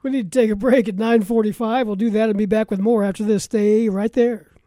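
A man's voice: high-pitched, steady tone, brisk, warm tone, no echo, studio quality. An American man hosting a live sports talk radio show.

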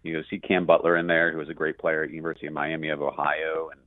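A man giving conversational sports analysis as a radio play-by-play guest, in a halting way with self-corrections. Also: rumbling low, monotone, no echo, narrow, tinny audio.

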